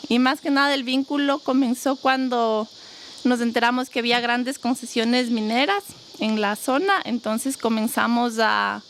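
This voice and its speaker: A woman, a guest in a casual interview, talking in a thoughtful, steady way about her family farm. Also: on the high side, slight background noise. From Ecuador. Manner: thoughtful, steady, often uses connecting fillers. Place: rural farm, crickets and insects around.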